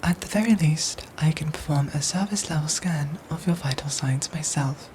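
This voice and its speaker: Woman acting as female robot soldier, low pitch, soft breathy whisper, measured formal diction, dutiful and gentle, ASMR drama, faint background noise. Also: lightly acted. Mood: attentive, calm. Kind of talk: ASMR roleplay audio drama.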